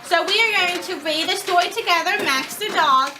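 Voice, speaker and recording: A woman's voice: very high voice, a little fast. A woman teacher instructing young children in a classroom reading lesson, giving directions and checking with questions. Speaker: elementary school teacher. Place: elementary classroom, away from the mic. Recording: echoey, distant, noisy classroom recording with clicks.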